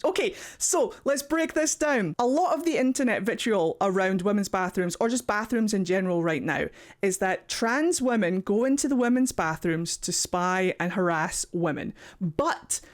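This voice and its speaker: A man with a Scottish accent, hosting casual reaction commentary from his warm home office, animated and encouraging, sometimes swearing in passing. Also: high voice, normal speed, hardly any pauses. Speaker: YouTube reaction host.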